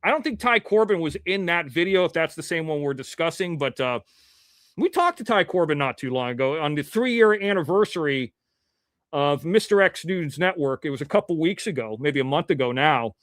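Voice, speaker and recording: A man from Ohio hosts a live show with a high voice, rambling through the chat and swearing casually. His diction stays clean and crisp. The sound is dry and close, with no room around it. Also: lively intonation, normal speed, a bit bright, no echo.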